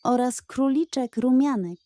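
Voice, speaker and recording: A woman reads a children's bedtime story as a gentle, expressive storyteller. Her voice is mellow and fairly high, and she takes her time. The recording is close and dry. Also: measured pace, no room sound.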